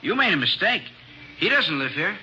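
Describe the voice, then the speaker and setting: High breezy manager, bouncy, hissy old radio. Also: male voice, sing-song, moderate pace, bright, forceful tone, heavily acted. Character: breezy male rooming-house manager. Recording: dull old noisy radio recording.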